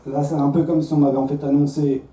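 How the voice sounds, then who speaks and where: Belgian French comic in his thirties, self-mocking stage patter with stumbles, slightly high but very mellow voice, miked from a distance in a roomy club. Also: flat delivery, fast talker. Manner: conversational, self-mocking, with stammered restarts. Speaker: stand-up comedian. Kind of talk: stand-up comedy set. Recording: roomy club sound from a distance, faint crowd.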